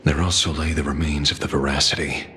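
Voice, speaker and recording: A man acting a guarded, weary former leader of space rangers in a video game cutscene, dry and measured. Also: a bass voice, level tone, bright, forceful tone, a little hiss or hum. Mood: grim, resigned.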